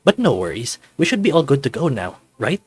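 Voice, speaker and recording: A man's voice: mid-range voice, big pitch swings, fairly quick, slightly rough, no echo. A man playing an easygoing, reassuring husband. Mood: fond reassurance. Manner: soothing, upbeat, casual. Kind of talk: visual novel voice acting.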